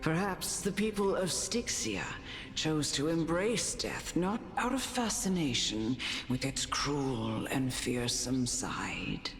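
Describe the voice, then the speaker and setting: A serene fantasy-game noblewoman speaks in a very deep register with slow, measured phrasing. She sounds like a wise, motherly mentor. Long reverb trails her words and music plays clearly behind. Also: animated. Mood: contemplative. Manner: measured, philosophical, formal phrasing. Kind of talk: scripted fantasy game dialogue.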